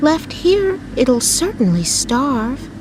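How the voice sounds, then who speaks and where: A woman's voice: high voice, sing-song, slow pace, noisy background, pitched above the performer's own voice, exaggerated voice. A woman voicing an impulsive, tender-hearted lady on holiday in a storybook, earnest and exclaiming. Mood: determined. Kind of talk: storybook character voice. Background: music.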